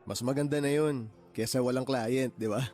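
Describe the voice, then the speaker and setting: Casting: actor for considerate introverted young Filipino professional, radio drama, mid-range voice, explains things carefully. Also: male voice, medium pitch, steady tone, normal speed, darkish tone, soft background music, natural voice. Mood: friendly, explanatory. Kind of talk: Tagalog radio drama acting.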